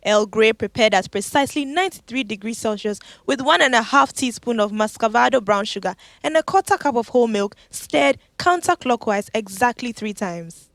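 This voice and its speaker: Woman playing a brisk housekeeper auntie, fairly high voice, dry sound, nagging but dutiful. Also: lively intonation, gravelly, a bit bright, no echo. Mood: dutiful, precise. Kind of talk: audio drama acting.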